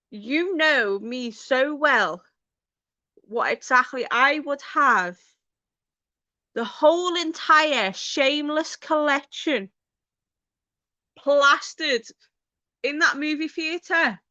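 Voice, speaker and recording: High, bright-voiced Liverpool woman. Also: dry recording. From Liverpool, England. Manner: informal, sweary, talks directly to viewers by name. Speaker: video channel host. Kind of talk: casual livestream chat with viewers.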